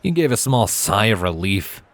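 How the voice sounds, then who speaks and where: A man's voice: on the low side, sing-song, moderate pace, dry recording. A man reading a story aloud as narrator, in scripted storybook narration. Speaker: narrator, fan fiction reader.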